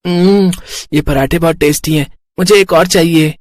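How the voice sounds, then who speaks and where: High-voiced, entitled college boy, acted by a man. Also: fairly even intonation, moderate pace, close to an everyday voice. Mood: greedy. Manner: wheedling, boyish. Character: whiny, entitled college-age younger brother. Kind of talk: Hindi cartoon moral story acting.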